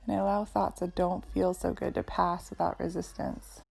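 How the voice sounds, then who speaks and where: A woman teaching yoga and guided meditation in a soothing, measured way with deliberate phrasing. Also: lowish voice, little pitch movement, vocal fry, darkish tone, husky, rough recording.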